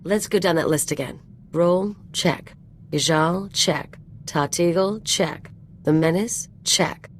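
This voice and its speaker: A woman's voice: lowish voice, takes it slowly, slight background noise. A woman playing a brash, sardonic gangster sidekick in a video game, talking in cocky, casual slang. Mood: gleeful swagger. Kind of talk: video game companion dialogue acting.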